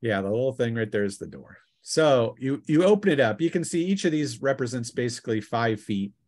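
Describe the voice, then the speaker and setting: A man acting as game master, narrating a tabletop adventure off the cuff in a halting, explanatory way. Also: mid-range voice, expressive, a little mellow.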